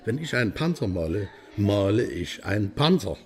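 Declamatory, animated East German painter. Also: male voice, on the low side, moderate pace. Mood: jovial, proud. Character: boastful East German painter, jovial party-loyal man. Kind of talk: radio drama acting.

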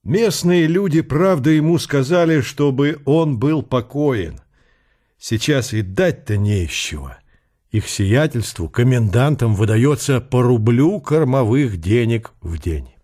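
A man reading literary narration in an unhurried, characterful storytelling manner. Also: medium pitch, expressive, warm tone. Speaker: narrator of an audio drama.

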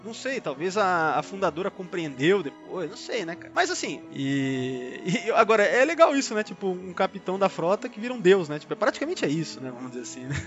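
Animated, high-pitched Brazilian man podcasting. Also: fast talker, hardly any pauses. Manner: conversational, frequently seeking agreement with tag questions. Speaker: podcast co-host. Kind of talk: casual fan discussion. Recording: dull lo-fi audio, music underneath. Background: background music.

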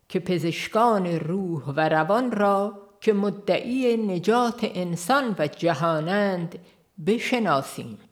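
A woman reads a religious book as scripted audiobook narration, in a measured, formal, literary manner. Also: lowish voice. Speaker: audiobook reader.

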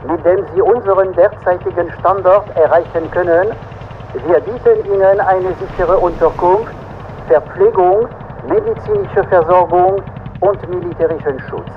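A man's voice: high-pitched, steady tone, normal speed. A man playing a dutiful naval radio operator, speaking formally and measuredly through a radio transmission effect. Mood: official. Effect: radio transmission filter. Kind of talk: German radio drama acting. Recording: dull radio transmission, faint noise.

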